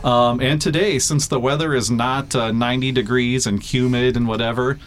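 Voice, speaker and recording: A man working as a weather forecaster, chatting casually in a radio interview, full of fillers and quick laughs. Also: medium pitch, steady tone, slight background noise.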